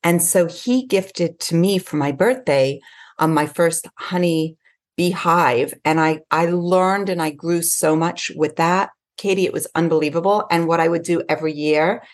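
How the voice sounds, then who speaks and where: A woman raised in Switzerland and England, an effusive podcast guest talking about her life. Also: deep voice. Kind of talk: podcast interview.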